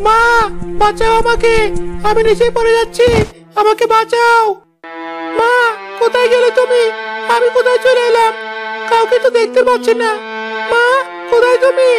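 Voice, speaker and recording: Cartoon flying foal: naive, plaintive, timid child voice, extremely high, over prominent music. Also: female voice, monotone, normal speed, pauses often. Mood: frightened, pleading. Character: small, naive, fearful young flying horse foal. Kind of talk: Bengali fairy-tale cartoon voice acting.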